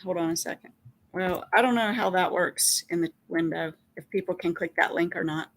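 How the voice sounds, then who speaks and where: A woman's voice: fairly low pitch, normal speed. A woman taking part in a live call-in, chatting casually. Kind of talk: casual chat.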